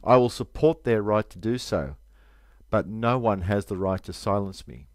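Low-voiced Australian man narrating. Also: animated, moderate pace, no echo, clean studio sound. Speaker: video narrator. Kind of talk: scripted voice-over narration.

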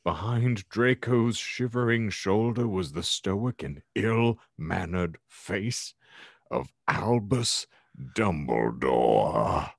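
A man with a very deep, husky voice narrates a story like a stage piece. His delivery is theatrical and animated, recorded dry and close on a podcast microphone. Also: slow, no room sound. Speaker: podcast host. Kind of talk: dramatic reading of fan fiction. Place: home room, on a video call.

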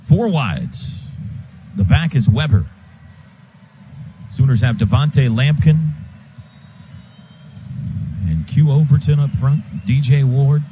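An American man calling live football on the radio as play-by-play announcer, in clipped phrases that build toward excited calls. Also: mid-range voice, rough recording. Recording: stadium booth with loud crowd noise.

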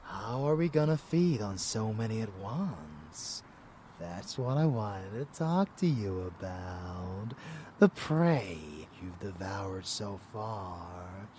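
Rebellious, doting vampire lover played by a man, ASMR audio drama. Very unhurried, low, intimate, sinister, slipping into whispers. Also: big pitch swings, mellow, a little hiss or hum. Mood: sinister, coaxing. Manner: intimate, drawling, often dropping to whispers. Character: rebellious murderous male vampire lover, doting yet bloodthirsty.

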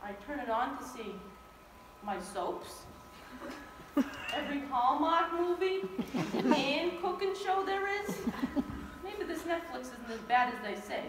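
A woman's voice: high voice, lively intonation, unhurried, long pauses. A young woman performing a comedic stage monologue as an exasperated old grandmother, dramatic and complaining. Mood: grudgingly pleased. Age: young adult. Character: feisty, exasperated old Italian American grandmother from Brooklyn. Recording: distant, echoey, noisy hall recording.

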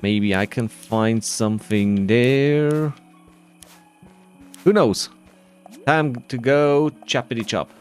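Man, mid-pitched voice, slow casual gameplay commentary, muttering to himself, faint background music. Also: a little mellow. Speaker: gamer narrating a playthrough.

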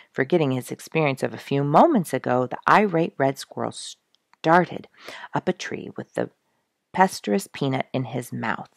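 Low, husky, creaky woman storyteller. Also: no room sound, studio quality. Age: middle-aged. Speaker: storyteller reading a book aloud. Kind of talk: expressive storybook narration. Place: small quiet room indoors, seated in an armchair.

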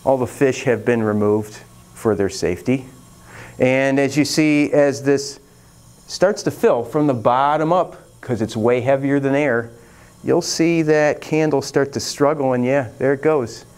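A man's voice: medium pitch, unhurried, darkish tone, smooth. A middle-aged American man presenting a casual science demonstration in a colloquial, playful way with joking asides. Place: classroom laboratory, indoors. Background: music.

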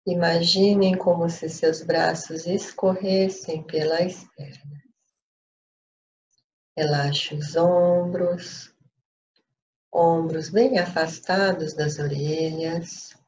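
A woman with a Brazilian accent leading a guided meditation in a calm, unhurried way with pauses. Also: lowish voice. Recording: dull lo-fi audio, faint noise.

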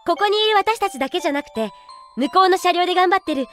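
Brash, high-voiced tomboy girl. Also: expressive, fast, a bit bright, faint music behind. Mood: encouraging. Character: brash tomboyish girl, rough-talking but loyal. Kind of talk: anime drama CD acting.

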